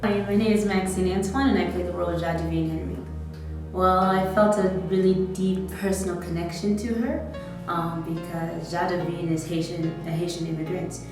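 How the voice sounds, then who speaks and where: Low-voiced chatty woman actor, very echoey. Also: normal speed. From United States. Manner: animated, chatty, laughs easily. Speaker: stage actor. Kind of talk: behind-the-scenes interview. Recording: very echoey room, distant mic, music behind.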